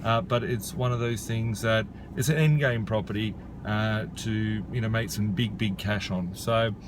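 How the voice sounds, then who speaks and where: A man in his thirties from Australia speaks casually to camera while sitting outdoors, with many "uh" and "you know" fillers. His voice sits fairly low. Traffic and outdoor noise run clearly underneath. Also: fairly even intonation, moderate pace. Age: 30s. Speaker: property investor. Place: outdoors beside a rural property.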